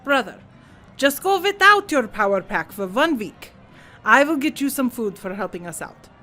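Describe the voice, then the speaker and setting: A woman voicing a blunt, excitable alien hog union striker in an audio drama. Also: on the high side, normal speed, faint music behind.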